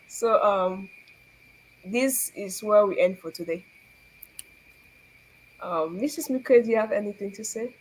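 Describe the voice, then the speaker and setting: A woman's voice: mid-range voice, expressive, slow, long pauses, a little mellow, slightly rough, low-quality recording. A young Cameroonian woman hosting a faith talk, earnest and preachy, asking rhetorical questions and laughing often. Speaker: show host.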